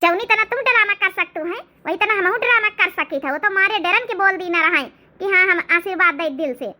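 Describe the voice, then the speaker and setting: A woman's voice: a little fast, sharp tone, heavily acted. A woman voicing a spiteful, grumbling middle-aged village mother-in-law with an Awadhi accent in a cartoon comedy. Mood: defiant. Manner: grumbling, shrill, theatrical. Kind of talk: cartoon comedy drama dialogue.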